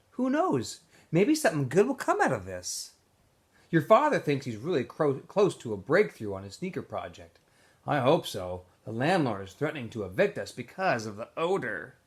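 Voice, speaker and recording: Hopeful, fond mom read by a man, encouraging, animated storybook letter reading. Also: mid-range voice, lightly acted. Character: loving, hopeful mother writing to her son.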